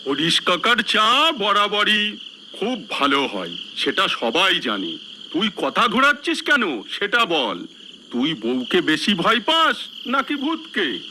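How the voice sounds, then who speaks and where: Bright, high actor as teasing young villager. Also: male voice, wildly swooping pitch, slow pace, a little hiss or hum, exaggerated voice. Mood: teasing, curious. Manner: teasing, prodding. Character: young village man, teasing, nosy friend. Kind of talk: cartoon dialogue.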